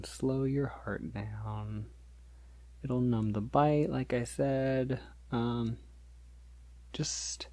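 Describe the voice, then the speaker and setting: Polite male vampire, played by a man, ASMR roleplay: slow, halting, reassuring, soft with some vocal fry. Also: medium pitch, dry recording. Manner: hesitant, halting, gentle, reassuring, with small nervous chuckles. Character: polite, shy, considerate male vampire on a first feeding date.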